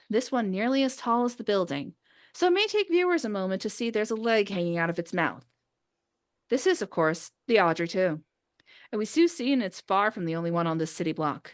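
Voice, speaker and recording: Female podcast host and script reader, informal, conversational delivery among friends. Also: medium pitch. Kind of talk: casual chat with friends before a script read-through.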